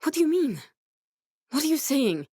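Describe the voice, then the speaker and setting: A woman's voice: high voice, sing-song, moderate pace, mellow, no room sound, studio quality. An actress voicing a determined, caring young woman scientist in a video game, speaking in an earnest, direct, instructive way with naturalistic dramatic delivery. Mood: surprised, urgent.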